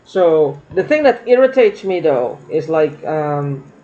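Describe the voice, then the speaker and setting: A woman's voice: low-pitched, big pitch swings, slow pace, dark tone. A woman vlogging in a rambling, aggrieved monologue, circling back and repeating herself. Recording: dull lo-fi recording.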